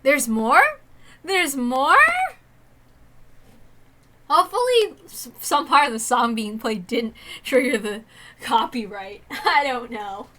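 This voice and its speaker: A woman's voice: on the high side, animated, slow pace, long pauses, pushed and bright. A woman reading visual novel text aloud expressively as a streamer, with casual asides in between. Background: game soundtrack music.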